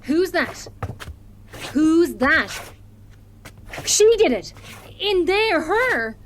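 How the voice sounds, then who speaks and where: A woman's voice: very high-pitched, big pitch swings, extremely slow, a little hiss or hum, heavily acted. A woman playing an unhinged, dreamy and menacing recluse in an audio horror drama. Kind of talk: audio horror drama acting with musical singing.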